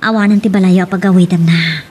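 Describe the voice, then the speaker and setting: A woman playing a kind, practical neighbour in a radio drama, calm and reassuring in manner. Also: lowish voice, fairly quick, slight background noise, natural voice. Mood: concerned. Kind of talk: Ilocano radio drama acting.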